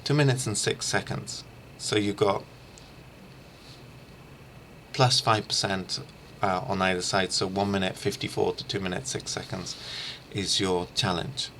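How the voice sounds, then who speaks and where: A middle-aged man giving an informal instructional screencast as a language teacher, haltingly thinking aloud. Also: low-pitched, steady tone, a bit bright, slight background noise. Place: small room lined with shelves, indoors.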